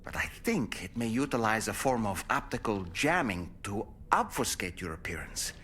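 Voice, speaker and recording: An actor playing an erudite, chatty psychologist companion in a game, speaking in an articulate, wry, professorial way. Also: male voice, fairly low pitch, big pitch swings, moderate pace, sharp tone, slight background noise. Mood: musing, explanatory. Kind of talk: game companion dialogue, explanatory.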